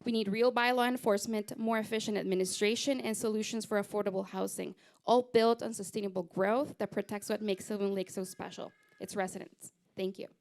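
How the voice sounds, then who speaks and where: A woman from Guatemala giving an energetic, personal campaign speech as a council candidate in a community rec center. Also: mid-range voice, fairly quick. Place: community recreation center hall.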